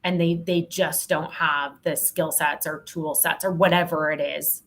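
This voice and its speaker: Podcast guest: woman, slightly low-pitched, candid and emphatic, conversational delivery with plenty of expression. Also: steady tone, measured pace, a bit bright. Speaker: podcast guest, sales leadership expert. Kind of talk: conversational interview answer.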